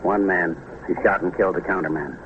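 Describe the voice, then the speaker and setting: Deep-voiced terse police sergeant male actor. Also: brisk, natural voice. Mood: businesslike, terse. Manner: clipped, matter-of-fact. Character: terse, matter-of-fact police sergeant reporting. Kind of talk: old radio drama acting. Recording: dull, faintly noisy old radio recording.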